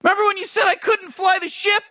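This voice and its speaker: A man's voice: very high voice, little pitch movement, fast talker, bright, forceful tone. A man voicing a nervous, timid teenage boy sidekick who stammers and whines. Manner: stammering, anxious, whiny. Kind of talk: comedic commentary on game characters.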